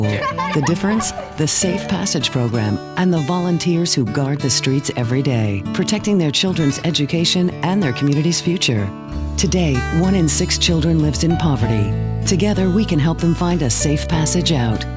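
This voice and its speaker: A woman announcer reading a scripted public service ad. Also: low-pitched. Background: plucked guitar music.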